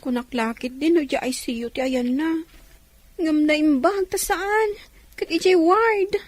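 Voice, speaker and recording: A woman's voice: high voice, a little slow. A woman voicing a polite, eager young worker in a comedic radio drama. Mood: curious.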